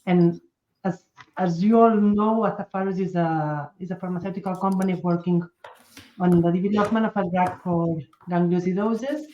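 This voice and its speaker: Spanish-accented woman presenting, mellow tone. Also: fairly low pitch, fairly even intonation, moderate pace, roomy sound, highs cut off. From Spain. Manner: careful, repeats words while searching for English phrasing. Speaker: pharmaceutical medical director presenting. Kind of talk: conference presentation over video call.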